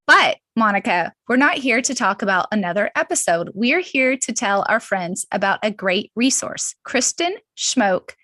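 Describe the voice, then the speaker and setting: A woman around her thirties or forties co-hosting a podcast in a casual, informal and expressive way. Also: mid-range voice, normal speed, few pauses, dry recording, clean studio sound. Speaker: podcast co-host. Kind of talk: casual chat.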